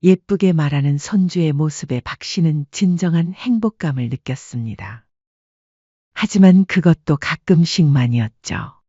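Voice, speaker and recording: Brief: husky, mellow woman storyteller, calm delivery, dull lo-fi recording. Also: sits low, no room sound. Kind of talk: scripted audiobook narration.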